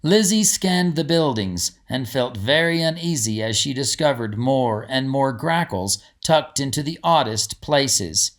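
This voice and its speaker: Male author-narrator, middle-pitched voice, storybook delivery, casual commentary. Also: lively intonation, a little slow, hardly any pauses.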